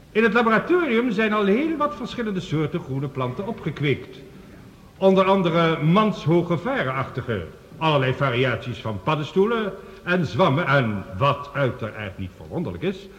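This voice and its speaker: A man's voice: on the high side, lo-fi sound. An actor playing an earnest male scientist, giving a formal, precise lecture in a science fiction radio play. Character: male scientist reporting Mars findings, earnest and authoritative. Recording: reverberant hall, radio drama production.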